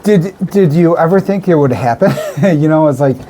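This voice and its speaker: A man hosts a casual radio interview with a very animated, up-and-down voice. His tone is soft-edged and mellow. He gushes and exclaims as he talks, with a little noise in the background. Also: on the high side, moderate pace. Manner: gushing, exclamatory. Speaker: radio show host.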